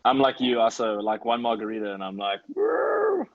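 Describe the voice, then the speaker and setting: A man's voice: mid-range voice, wildly swooping pitch, normal speed, dry recording, narrow, tinny audio. A man chatting casually with a joking, bantering way of talking. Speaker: podcast cast member.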